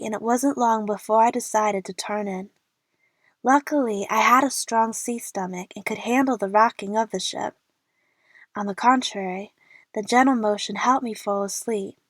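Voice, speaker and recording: A woman's voice: medium pitch, no echo, lightly acted. A young woman playing an eager, chatty movie fan who narrates her adventure in the first person for an audio drama.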